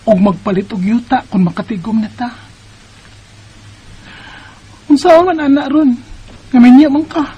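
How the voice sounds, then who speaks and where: Actress as a high-voiced, husky, distressed young mother. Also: a little fast, warm tone, lo-fi sound. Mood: hurt, doubtful. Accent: Bisaya Filipino. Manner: plaintive, emotional. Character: distressed young mother, live-in partner of a married man. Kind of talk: Bisaya radio drama acting and narration. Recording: music behind the voice.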